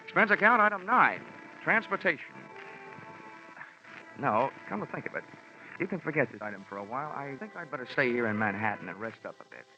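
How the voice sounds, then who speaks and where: An actor playing a hard-boiled, wry American insurance investigator with clipped sardonic delivery in 1940s radio style. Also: male voice, mid-range voice, lively intonation, brisk, bright, forceful tone, faint music behind. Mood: jaunty, sardonic. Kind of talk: 1940s radio acting, detective narration. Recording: muffled old radio recording.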